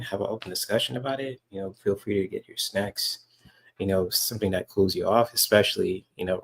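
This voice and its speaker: A soft-spoken man hosts a reaction podcast in a slightly low, soothing voice. He rambles casually, leaning on fillers and restarts as he talks to his listeners. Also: slightly rough. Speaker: podcast host reacting to a clip.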